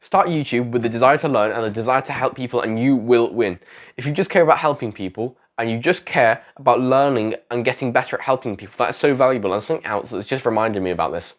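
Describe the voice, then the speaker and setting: Sick young man rambling vlog advice. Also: medium pitch. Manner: rambling, self-correcting, repeats phrases. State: sick, voice going. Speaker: YouTuber giving advice. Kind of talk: casual talking-head advice vlog.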